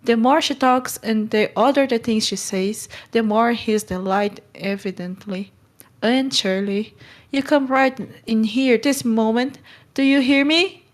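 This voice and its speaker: A woman's voice: a little high, measured pace, close to an everyday voice. A woman playing a stern but kind middle-aged guardian in a storybook read-along, brusque and scolding. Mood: exasperated. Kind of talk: acted audiobook read-along.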